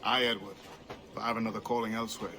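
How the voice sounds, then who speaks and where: An actor playing a principled, stern but loyal sailor in a video game, speaking in an earnest, measured way. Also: male voice, fairly low pitch, level tone, close to an everyday voice. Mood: fond, resolved. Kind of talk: video game cutscene acting. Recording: loud music behind.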